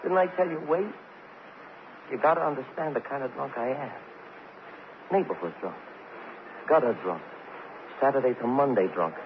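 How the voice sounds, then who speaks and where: A man's voice: mid-range voice, lively intonation, natural voice. An actor playing a self-pitying, cornered drunk man who stammers and repeats himself in an old radio crime drama. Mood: desperate, confessing. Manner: halting, repetitive, stammering, street slang. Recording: muffled, hissy old radio recording.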